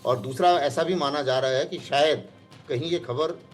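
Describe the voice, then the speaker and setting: A man's voice: on the high side, moderate pace, soft background music. A man giving analysis as an expert guest in a live news discussion.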